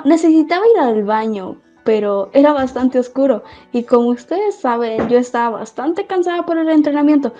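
A woman's voice: sits high, mellow. A woman playing a flustered, evasive young heroine in an amateur comic fandub, hesitant and stammering. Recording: dull lo-fi audio under music.

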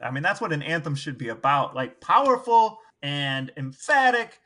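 A man co-hosting a podcast in casual banter, explaining things in a measured way. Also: fairly high pitch, lively intonation, sharp tone. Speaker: podcast co-host.

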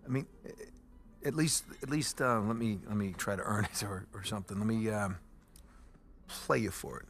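An American actor playing a restless, wisecracking adventurer husband in his thirties, bantering and halting in a naturalistic game cutscene. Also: on the low side, a bit bright, soft background music. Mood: conciliatory.

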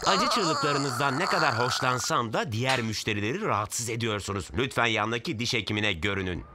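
An actor playing a gruff, sardonic diner cook in a dubbed cartoon, speaking with dry formality. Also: male voice, mid-range voice, lively intonation, a little fast, noisy background, close to an everyday voice.

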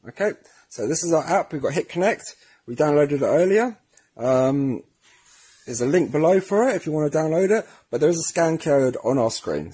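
Male tutorial presenter, mid-pitched with a husky edge, informal and friendly, walking viewers through steps. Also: darkish tone. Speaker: presenter of a product tutorial series. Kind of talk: casual spoken how-to demonstration.

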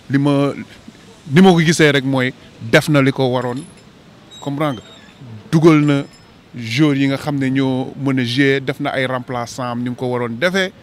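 A man's voice: mid-range voice, a little hiss or hum. Emphatic man on a street. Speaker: football fan interviewed. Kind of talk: street interview. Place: snowy city street outdoors. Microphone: handheld mic.

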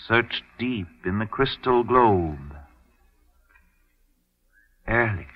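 An actor playing a solemn, self-assured mystic detective with formal, theatrical phrasing in an old-time radio drama. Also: male voice, fairly low pitch, expressive, extremely slow, full character voice. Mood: commanding. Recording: dull, muffled old radio recording.

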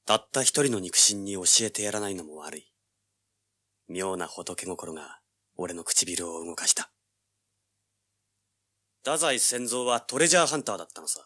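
An actor playing a cocky, hard-boiled young treasure hunter, brash and wry, in a dramatized audiobook. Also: male voice, sits low, animated, normal speed, long pauses, pushed and bright, heavily acted. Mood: brooding narration. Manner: brash, wry, rough-spoken. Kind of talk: dramatized audiobook acting with first-person narration.

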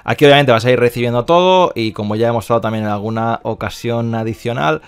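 A man's voice: medium pitch, quick pace, clear, resonant tone. A man with an accent from Spain giving casual, explanatory screen-share commentary as a video presenter, often repeating himself and checking in with little tag questions. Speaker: video presenter promoting crypto mining investments.

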